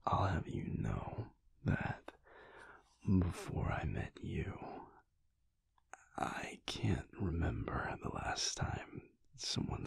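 A young man playing a big, bashful, affectionate werewolf boyfriend in an intimate audio roleplay, speaking softly and haltingly in a husky near-whisper. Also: low-pitched, no echo, full character voice. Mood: bashful, teasing. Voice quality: breathy, husky, gravelly. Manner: halting, soft, hesitant with small chuckles.

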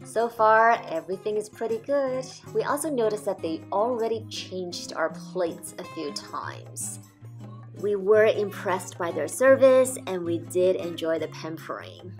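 Calm woman doing a food vlog voiceover, slow and measured, faint music under it. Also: mid-range voice. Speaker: food vlogger. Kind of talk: voice-over food review narration.

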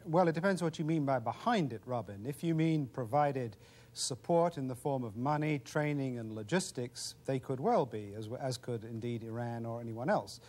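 A middle-aged man, a former national security official, answering in a crisp, confident and analytical way in a studio interview. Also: medium pitch, normal speed, a little mellow. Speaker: policy expert guest. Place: television studio.

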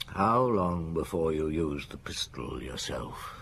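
An actor playing a thoughtful, courteous chief police inspector with a measured, hesitant manner in an old radio drama. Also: male voice, rumbling low, lively intonation, gravelly, a bit bright, slightly rough, exaggerated voice. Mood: probing.

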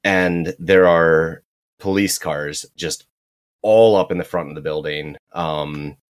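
Casting: deep-voiced male game master, easygoing and upbeat. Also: slow. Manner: relaxed, enthusiastic, lots of fillers. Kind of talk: narrating comic panels in a tabletop game.

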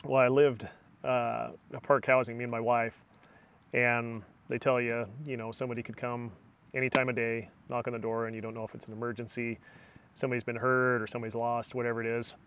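A man's voice: mid-range voice, fairly quick, a little hiss or hum. A middle-aged man chatting casually and telling stories on a camping vlog, recorded inside a small tent at night. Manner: relaxed storytelling, chatty. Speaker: outdoor vlogger. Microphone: camera on a tripod, then close phone at arm's length.

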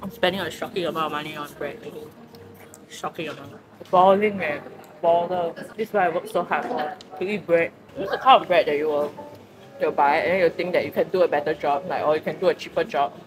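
A woman's voice: low-pitched, a little fast, music in the background, low-quality recording. A young woman with a Singaporean accent chatting casually for a food vlog, dropping in Singlish particles. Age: young adult. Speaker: vlogger. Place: busy cafe and restaurant indoors. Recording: busy crowd chatter behind voice.

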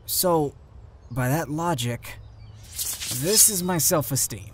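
A performer voicing a self-conscious, frustrated teenage goat monster boy in a comic dub, expressive and self-deprecating. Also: mid-range voice, takes it slowly, noisy background, natural voice. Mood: dry, unsure.

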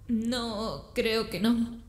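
A woman's voice: on the high side, fairly even intonation, extremely slow, slight background noise. A woman with a Latin American Spanish accent playing an uneasy, frightened young heroine in a video game fan dub, anxious and pleading. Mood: wary. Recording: echoey, under music.

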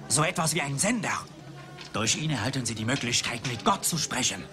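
A man's voice: medium pitch, very animated, brisk, natural voice. Man acting a suave, condescending villain over music. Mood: intense. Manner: polished, condescending, philosophical. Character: suave, cynical rival archaeologist, a Frenchman. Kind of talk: dubbed 1980s film dialogue. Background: film music.

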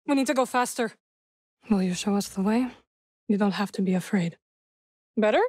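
A woman playing a solemn, guarded young witch warrior in a video game, dryly wry, with measured, formal phrasing. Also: mid-range voice, a little mellow, dry recording, studio quality, lightly acted. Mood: solemn, gentle. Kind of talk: video game character dialogue.

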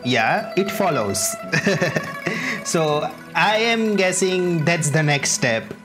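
Really slow streamer chatting over a game, wry asides, music clearly behind. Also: medium pitch, animated. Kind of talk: casual playthrough commentary.

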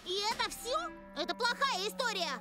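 A hyperactive little female cartoon pony with a tiny, very high voice. She exclaims and repeats herself eagerly. Music plays prominently behind her. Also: normal speed. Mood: curious, pouty. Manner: exclamatory, repeats words excitedly. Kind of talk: animated children's cartoon dub.

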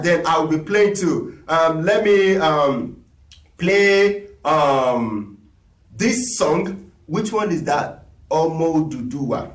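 Echoey, high-voiced man teaching. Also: distant mic. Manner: chatty, encouraging, reads viewer requests aloud. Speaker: talking drum teacher. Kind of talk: live-streamed music lesson. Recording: echoey room, voice from off the mic.

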